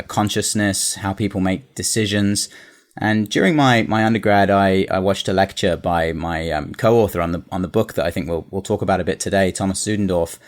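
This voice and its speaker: London-accented male guest, thirties, low register, halting and reflective. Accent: London English. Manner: thoughtful, frequent restarts and fillers. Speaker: guest, cognitive scientist. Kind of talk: casual interview answers.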